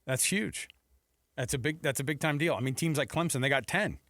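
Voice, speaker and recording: A man's voice: fairly high pitch, a little fast, fairly bright tone, dry recording. Informal American sports radio man, dry sound. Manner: informal, rambling, conversational asides. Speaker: sports talk show co-host. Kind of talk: conversational sports talk.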